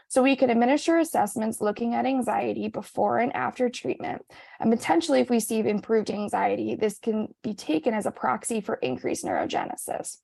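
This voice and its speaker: A woman researcher giving a measured presentation at a virtual conference. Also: fairly high pitch, creaky, mellow, no echo. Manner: measured, reads prepared points.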